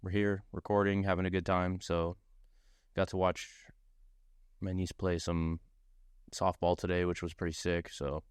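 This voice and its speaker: A man's voice: low voice, level tone, measured pace, pauses often, clean tone, dry recording, clean studio sound. A man chatting and telling stories casually as a podcast co-host, full of slang and swearing. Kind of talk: casual chat and storytelling.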